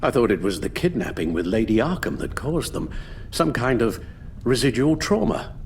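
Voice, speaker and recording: An actor playing an elderly British butler in a video game, formal and measured, weary and full of sorrow. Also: male voice, mid-range voice, sing-song, vocal fry, slight background noise. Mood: grave pleading. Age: older. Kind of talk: dramatic video game acting.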